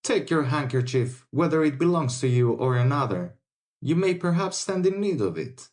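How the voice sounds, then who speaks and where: A woman reading an audiobook, voicing a hot-tempered young Gascon swordsman who is proud and quick to take offence. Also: sits low, animated, darkish tone, voice pushed down.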